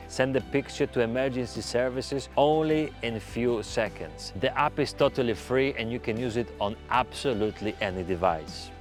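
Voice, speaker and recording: A man hosting a travel video, speaking earnestly to viewers with slightly non-native English phrasing. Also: mid-range voice. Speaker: host. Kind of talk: presenting travel safety advice to viewers. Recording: music behind the voice. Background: background music.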